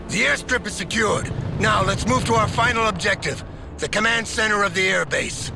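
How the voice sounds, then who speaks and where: Army general in a war game, bossy orders, kinda high creaky voice, loud music behind. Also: male voice. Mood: stern, focused. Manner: authoritative, giving firm orders. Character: commanding US army general directing an airbase assault. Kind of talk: military briefing voice acting in a strategy game.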